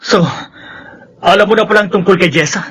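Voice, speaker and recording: A man's voice: high-pitched, brisk, fairly bright tone, husky. An actor playing a defensive, exasperated young man in a radio drama, with a Filipino accent.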